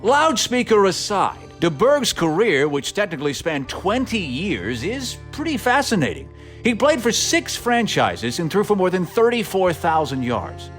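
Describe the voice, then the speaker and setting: Animated, higher-pitched man narrating with playful drama. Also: a little slow. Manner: playful mock-dramatic emphasis. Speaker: podcast host and narrator. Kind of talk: scripted humorous documentary narration. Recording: music bed under narration. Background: music.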